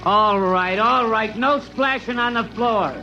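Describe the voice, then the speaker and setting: An actor playing a blunt, matter-of-fact army private in a 1950s radio drama, emphatic in delivery. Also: male voice, high voice, measured pace, gravelly, pushed and bright, soft background music, heavily acted. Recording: muffled old radio recording, faint noise.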